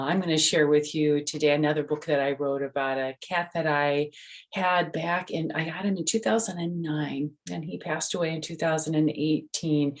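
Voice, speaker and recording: An older woman reading a picture book aloud to children, gently explaining with chatty asides and questions to the listener. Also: low-pitched. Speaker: storyteller and host.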